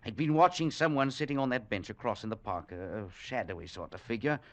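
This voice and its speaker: An actor playing a nervous, irritable man of science, halting and intense, in a vintage radio drama. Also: mid-range voice, fairly bright tone, dull audio, natural voice. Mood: anxious, intense. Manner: halting, repeating words, intense. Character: nervous, haunted, irritable male professor of science. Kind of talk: 1940s-60s radio drama acting.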